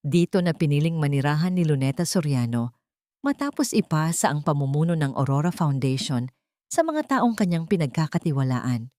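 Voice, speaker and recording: A woman with a Filipino accent narrates a scripted dramatic story in short, measured, sentimental phrases. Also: deep voice, animated, warm tone, dry recording, clean studio sound.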